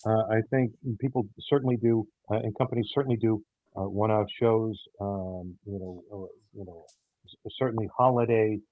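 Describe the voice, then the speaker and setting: A man answering interview questions about a radio show, speaking in a halting way with repeated words. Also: lowish voice, fairly even intonation, dry recording. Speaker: audio theater director and producer, guest. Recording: muffled, phone-like, narrow-band line.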